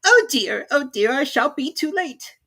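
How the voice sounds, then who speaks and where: A man performs an anxious storybook rabbit, pitched far up from a grown man's talk. The voice is flustered and exclaiming, fretting in a high register over light music. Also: big pitch swings, a little slow, vocal fry, bright, forceful tone, full character voice. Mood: fretful, hurried.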